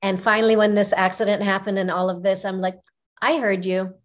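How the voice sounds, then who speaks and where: A woman's voice: mid-range voice, fairly even intonation, a little fast. Middle-aged woman, native Arabic speaker, telling her story. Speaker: podcast guest, image consultant. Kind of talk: personal storytelling in an interview. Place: small furnished room indoors, video call. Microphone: video call, seated at webcam distance.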